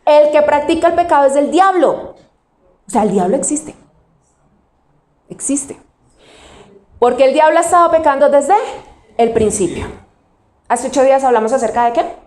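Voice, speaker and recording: A woman pastor from Colombia with a Cali accent, preaching a sermon. She puts questions to the congregation and answers them herself, and she slows down to stress single words. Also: high voice, animated, a little mellow, roomy sound. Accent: Cali Colombian Spanish.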